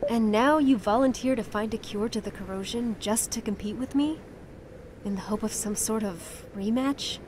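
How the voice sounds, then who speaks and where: A woman's voice: on the high side, moderate pace, noisy background, lightly acted. A woman playing a principled young heroine in a fantasy game, speaking earnestly with composed firmness. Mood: pointed challenge. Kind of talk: dramatic fantasy game voice acting.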